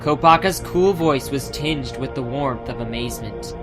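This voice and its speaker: Guy narrating a fantasy audiobook, mid pitch, dramatic storytelling, music going behind him. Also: normal speed.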